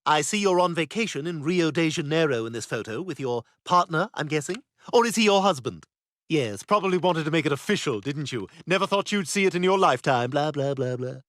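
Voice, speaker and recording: A man's voice: fairly high pitch, animated, fairly quick, a bit bright, dry recording, studio quality. An actor voicing an arrogant, precocious baby boy with a posh British accent, talking in a smug, condescending and cutting way. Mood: smug, probing. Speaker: therapy patient. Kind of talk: animated sitcom voice acting.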